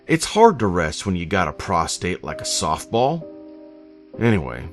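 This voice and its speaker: Man playing a deep-voiced, grumpy old farmer. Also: fairly bright tone, full character voice. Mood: grumbling. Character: grumpy, weary old widowed retired farmer, self-deprecating. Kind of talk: first-person horror story narration. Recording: voice over background music.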